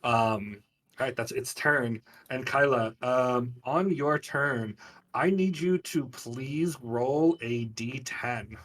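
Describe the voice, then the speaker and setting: A man's voice: medium pitch, takes it slowly, a bit bright, recorded from a distance. A man acting as game master, casually narrating players' actions and scenes in a tabletop game.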